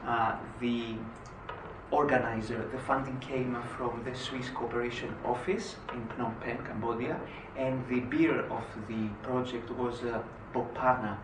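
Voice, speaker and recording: A man from Greece giving a conference presentation, pausing often with "uh" between phrases. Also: medium pitch, flat delivery. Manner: frequent "uh" hesitations. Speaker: conference speaker. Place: conference hall. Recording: echoey hall, distant mic, noisy.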